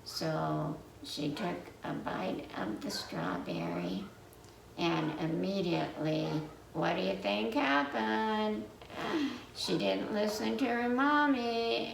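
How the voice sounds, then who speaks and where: A woman telling a children's story from memory in a halting, meandering way, pausing to put questions to her listeners. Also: on the low side, expressive, gravelly. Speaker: library storyteller. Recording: roomy, distant mic with faint noise.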